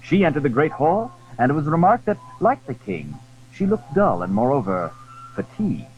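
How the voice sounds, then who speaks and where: A man narrating a dramatized period story in a formal, measured, expressive storytelling style. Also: fairly high pitch, faint music behind. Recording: dull, hissy old audio drama recording.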